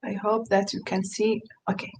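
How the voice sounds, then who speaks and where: A woman's voice: mid-range voice, little pitch movement, moderate pace, a little mellow, a little hiss or hum, low-quality recording. A woman whose first language is Arabic, presenting a screen-share tutorial to teachers, hesitant, with many fillers and restarts, and enthusiastic.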